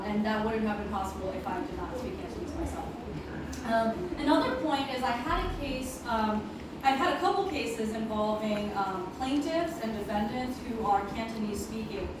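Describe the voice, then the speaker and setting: A middle-aged woman from Hong Kong, a lawyer giving an earnest public speech with many ums, mixing English and Cantonese. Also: on the high side. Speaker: deputy city attorney giving a speech. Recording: echoey room, voice from a distance, clear background noise.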